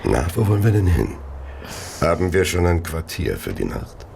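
An actor playing a coldly contemptuous gentleman serial killer in a radio play, speaking in a deliberate, theatrical and sinister way. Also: deep voice, big pitch swings, gravelly, a little hiss or hum, exaggerated voice. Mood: smooth, predatory. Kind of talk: radio play narration and dialogue.

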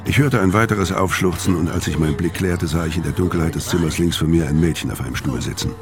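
Noir narrator: weary career criminal, deep register, terse crime radio drama delivery. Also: male voice, fast. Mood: tense, grim. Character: hard-boiled, world-weary male career criminal narrating his story. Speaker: first-person narrator. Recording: music bed under voice.

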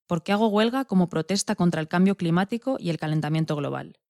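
A woman co-presenter reads from a script. Her voice sits a little low. The sound is close and dry, without room echo. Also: fast talker, darkish tone. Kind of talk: scripted reading.

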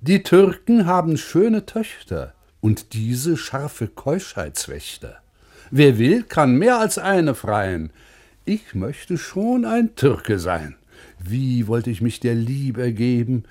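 A male reciter in the manner of 1950s German radio. He takes his time, with a lively rise and fall in pitch, declaiming literary texts theatrically in a mid-range voice. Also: slow pace.

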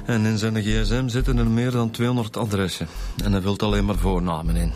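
A male actor plays a down-to-earth Flemish police inspector with a lowish voice. He talks in a colloquial, matter-of-fact way with a slightly weary edge. It is radio drama dialogue with music in the production. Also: level tone, a little fast, a little mellow, close to an everyday voice.

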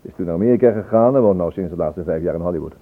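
An actor playing a chatty, wry police inspector in a radio drama, on a muffled, tinny old recording. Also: male voice, sits low, racing. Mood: gossipy, amused. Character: chatty male police inspector at Scotland Yard, gossipy, wry.

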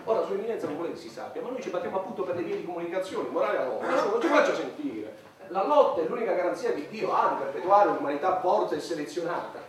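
A pompous champion of war in a satirical radio play. His high voice orates and exhorts impatiently, heard from far off in a very echoey hall with a crowd behind. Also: animated, racing. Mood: exasperated lecturing. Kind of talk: satirical radio theatre acting.

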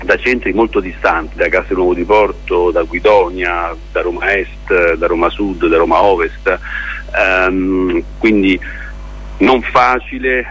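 A man answering as a theatre director in a radio interview, explaining in a halting, deliberate way with pauses and repeated words. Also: low voice, steady tone, a bit bright, breathy edge. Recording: muffled, lo-fi radio interview with noise.

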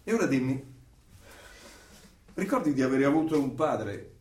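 An actor playing a cold, controlling regime interrogator in a radio drama, speaking in a measured, didactic, menacing way with authority. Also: male voice, medium pitch, sing-song, a little mellow, natural voice. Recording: roomy, distant, faint noise.

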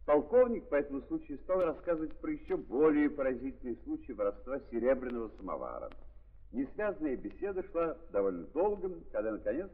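An actor as a wry literary narrator, reading with deliberate, expressive phrasing in a 1930s theatrical style. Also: male voice, mid-range voice, slightly rough. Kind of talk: scripted dramatic narration, 1930s stage reading. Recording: muffled, tinny 1930s recording, long reverb.

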